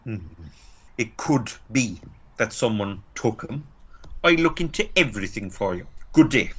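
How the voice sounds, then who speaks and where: A man's voice: lowish voice, expressive, unhurried, husky, muffled recording. A man with an Irish accent playing a complacent, dismissive small-town police officer in an amateur radio play. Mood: placating, officious. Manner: placating, hesitant, officious.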